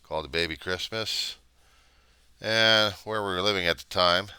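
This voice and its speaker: A middle-aged American man giving a casual, rambling monologue, halting as he thinks aloud in plain words. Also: deep voice, bright, forceful tone, clean tone, no echo. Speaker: vlogger.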